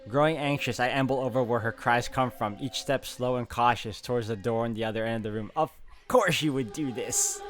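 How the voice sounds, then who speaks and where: A man's voice: medium pitch, noisy background. A man hosting a gaming video, reading story text aloud dramatically and reacting as he plays. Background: game music.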